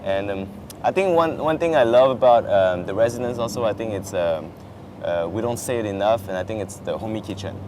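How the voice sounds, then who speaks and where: A young man, French by birth, talks easily on a campus lawn in a slightly low voice, stumbling through fillers and restarting his sentences. Traffic hums clearly in the background, picked up by a camera set some distance away. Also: brisk, few pauses, darkish tone. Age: young adult. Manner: chatty, many fillers and restarts. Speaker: exchange student. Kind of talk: casual chat. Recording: outdoor traffic noise behind.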